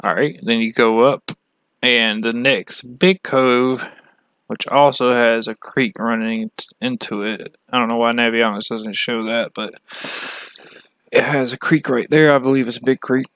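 A man from the United States giving a casual, halting, matter-of-fact walkthrough as a fishing channel host. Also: mid-range voice, steady tone, fairly bright tone, clear, resonant tone, no echo. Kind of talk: casual screen-share map walkthrough.

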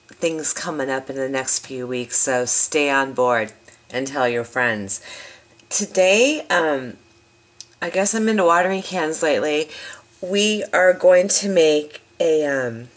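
A woman hosting a craft demonstration, slightly hoarse from a lost voice, chatting and rambling as she shows paper products. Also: low voice. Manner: chatty, rambling, enthusiastic asides. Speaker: craft video host.

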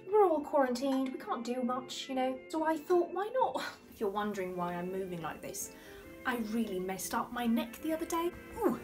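A woman playing a rambling, easily distracted older lady who is baffled by technology, talking to a phone camera. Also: high voice. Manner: rambling, easily distracted, prim yet crude. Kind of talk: comedic character monologue to camera.

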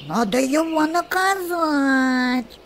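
Lady doing a bossy tomcat, drawn out purry words, high voice, birds behind. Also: background noise. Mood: stern. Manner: purring, drawn-out. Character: stern male cat, slow and purring.

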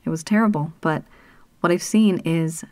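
Low, dry-voiced woman talking earnestly. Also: normal speed, darkish tone, no room sound. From Canada. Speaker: spiritual teacher. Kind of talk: solo spoken talk on spirits.

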